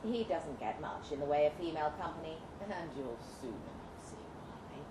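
A suave Victorian lord performed by a woman, coolly cruel and mocking in formal, old-fashioned phrases. The pitch sits low, and the live story reading rings in a roomy, noisy space. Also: animated, normal speed. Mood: mocking threat. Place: indoor live literary event.

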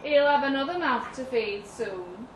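A woman voicing a blunt, gossiping working-class mother in a story, with pointed, drawn-out insinuations. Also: high voice, expressive. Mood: needling. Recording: roomy live venue, distant mic, noisy.

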